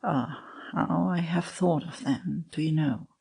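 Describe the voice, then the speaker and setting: A man playing an ardent, lovesick young man, earnest and melancholy, with a husky voice and a yearning, confessional delivery in a literary dramatic reading. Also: fairly high pitch, slow, a little mellow, full character voice. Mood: nostalgic.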